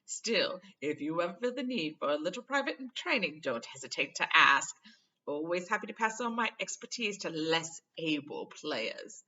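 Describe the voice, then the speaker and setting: A woman putting on a loud, pompous male show-off wizard. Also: expressive, moderate pace, highs cut off. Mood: boastful, condescending. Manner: pompous, booming, self-satisfied. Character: vain pompous adult male wizard teacher, smug show-off.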